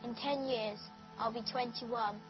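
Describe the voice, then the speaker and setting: A woman's voice: on the high side, steady tone, measured pace, highs cut off, over music. Young woman earnestly appealing. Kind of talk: scripted video voice-over.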